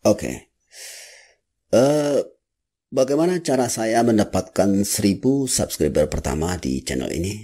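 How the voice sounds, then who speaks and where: A man's voice: fairly low pitch, very animated, measured pace, long pauses, mellow, husky. A man from West Sumatra with a noticeable Minangkabau accent, talking candidly to his viewers as a YouTuber about his channel, earnest and emphatic. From West Sumatra, Indonesia. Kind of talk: reflective talk to camera.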